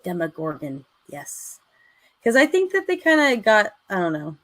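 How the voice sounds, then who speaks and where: A woman co-hosting a casual live stream chat, unhurried and trailing off mid-thought. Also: medium pitch, wildly swooping pitch, fairly bright tone, no echo. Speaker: co-host.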